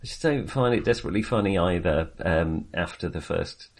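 A man's voice: low voice, brisk. A man co-hosting a casual film review chat, speaking hesitantly and often restarting and correcting himself. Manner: hesitant, self-correcting, with frequent repeated starts. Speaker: film podcast co-host.

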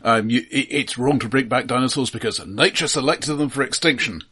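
Male podcast co-host, slightly rough voice, dry and opinionated, conversational film talk. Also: medium pitch, a little fast, pushed and bright. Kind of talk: casual film discussion.

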